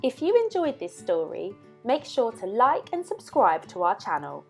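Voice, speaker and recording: A woman reading a children's story aloud as narrator, with expressive, dramatic emphasis. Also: on the high side, normal speed, creaky, faint music behind.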